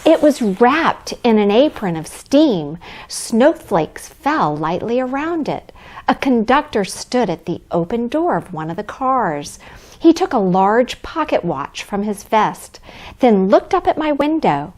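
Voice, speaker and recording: A woman plays Santa's kindly wife as a storybook narrator for young children. The delivery is gentle and cheerful, taken at a leisurely pace. The melody rises and falls with plenty of expression. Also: a little high, big pitch swings, a little slow. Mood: gentle, wondering. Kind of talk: children's storybook read-aloud.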